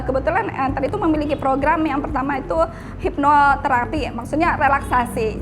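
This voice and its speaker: A woman teacher casually describing her classes in an interview, with fillers and restarts. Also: sits high.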